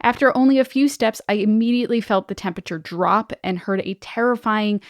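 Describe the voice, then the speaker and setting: A woman hosting a podcast, reading stories aloud and chatting casually in an expressive, enthusiastic way. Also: mid-range voice, brisk, no room sound, studio quality. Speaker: podcast host.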